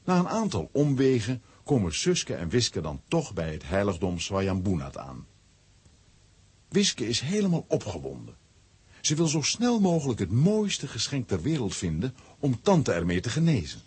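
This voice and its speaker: A man with a husky voice narrating a scripted children's story. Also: on the low side, huge pitch swings, normal speed, a little mellow.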